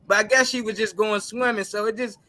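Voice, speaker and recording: A man's voice: high voice, fairly even intonation, brisk, a crowd in the background. A man on a talk show panel chatting casually and arguing his points emphatically. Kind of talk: casual debate chat.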